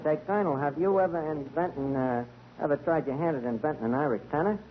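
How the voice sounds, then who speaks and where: An actor playing a streetwise tavern manager who talks in slang and fumbles fancy words, in 1940s radio comedy style. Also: male voice, fairly high pitch, fast talker, natural voice. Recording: muffled, very hissy 1940 radio broadcast.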